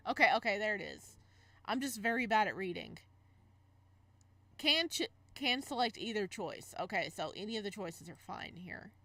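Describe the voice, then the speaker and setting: A woman lets-player reads a visual novel aloud in an expressive way. She drops into casual, reactive commentary between passages and now and then stumbles over the words. Her pitch sits in a middle range for a woman. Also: normal speed, dry recording. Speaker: game streamer, let's player.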